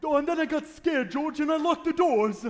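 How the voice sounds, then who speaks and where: An actor playing flustered, excitable small-town men in old radio style, with theatrical, exclamatory delivery. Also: male voice, extremely high pitch, moderate pace. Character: several radio-play townsmen: cabbie, flustered uncle, menacing banker. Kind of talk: 1940s radio acting on stage. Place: theatre stage. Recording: echoey hall, live stage taping.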